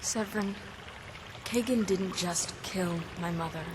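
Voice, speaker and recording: A woman's voice: medium pitch, unhurried, lightly acted. A tough, sardonic half-vampire heroine, voiced by a woman, from a video game. She speaks in a mid-range voice with dry wit. Game audio noise runs under her lines. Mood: grim. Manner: dry, sarcastic.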